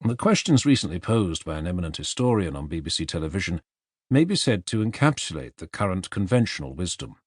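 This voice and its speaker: Deep-voiced male audiobook narrator, expressive intonation. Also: a little fast, husky, dry recording, studio quality. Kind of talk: scripted narration of non-fiction.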